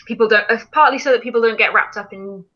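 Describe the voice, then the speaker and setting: A woman's voice: a little high, fast talker, highs cut off. A woman hosting a casual live stream, chatting and explaining things in an informal way. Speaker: film challenge live stream host.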